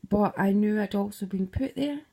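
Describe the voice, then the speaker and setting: A woman's voice: medium pitch, normal speed, vocal fry, warm tone. A Scottish woman casually retelling a dream, rambling, slipping into whispered asides and swearing freely.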